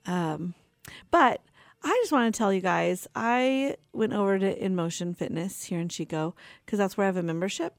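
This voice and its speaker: A woman's voice: mid-range voice, moderate pace, creaky, dry recording, studio quality. A woman of forty with a young-sounding voice, hosting a radio show in a rambling, personal way, tired and laughing often. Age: 40s. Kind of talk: casual chatty radio talk.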